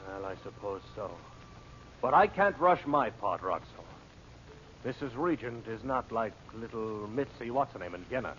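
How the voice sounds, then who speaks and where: A man's voice: lowish voice, animated, normal speed, fairly bright tone. An actor playing a cynical henchman in an old radio serial, talking bluntly and colloquially as he argues and schemes. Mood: argumentative. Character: cynical male henchman posing as reporter. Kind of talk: 1940s radio serial acting. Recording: muffled, noisy old radio recording.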